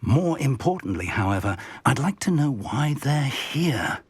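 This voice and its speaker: An older wizard mentor from a fantasy video game, husky and breathy, with lively swings of pitch. He is careful and quietly authoritative, speaking deliberately in a close, dry recording. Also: dry recording, heavily acted. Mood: watchful. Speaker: mentor professor. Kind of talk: scripted fantasy game acting.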